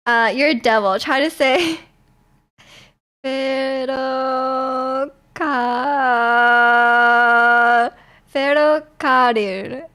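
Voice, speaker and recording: A woman's voice: sits high, drawn-out words, long pauses, a bit bright. A young woman, chatting casually on a live stream, giggling as she answers her chat.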